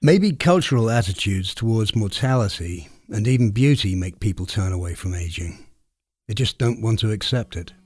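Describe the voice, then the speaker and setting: A man's voice: fairly low pitch, animated, normal speed, husky, no echo. A man hosting a literary interview in a reflective, conversational way. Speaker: podcast host.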